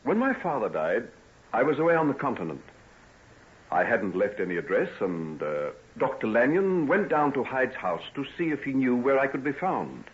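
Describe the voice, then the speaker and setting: Man playing a guarded gentleman doctor, swooping intonation. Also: medium pitch, sing-song, moderate pace, close to an everyday voice. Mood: guarded, evasive. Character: guarded Victorian gentleman doctor answering police questions. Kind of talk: old-time radio drama acting. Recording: dull vintage radio recording.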